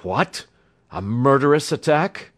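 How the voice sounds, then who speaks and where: A man's voice: medium pitch, expressive, takes it slowly, voice pushed up. A man playing a formal, concerned and authoritative doctor in an audiobook story. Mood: horrified. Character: calm, authoritative, sympathetic male doctor of Victorian tale.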